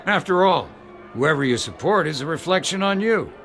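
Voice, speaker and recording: A man's voice: fairly high pitch, lively intonation, moderate pace, a bit bright, faint music behind. An actor playing a cranky, cynical older rich man, blunt and grumbling, in a dramatic video game. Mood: skeptical.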